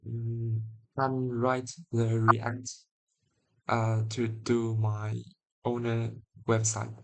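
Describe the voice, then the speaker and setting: A man from Taiwan with a Taiwanese accent, a native Mandarin speaker, chatting in halting English while searching for words. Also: mid-range voice, monotone, a little mellow, low-quality recording. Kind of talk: casual chat.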